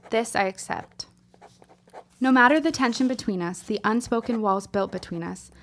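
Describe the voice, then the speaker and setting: A woman's voice: medium pitch, a bit bright, slight background noise, natural voice. An actress playing an earnest, wounded young woman reciting a solemn letter in formal, literary style for a period audio drama. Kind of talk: scripted period drama monologue.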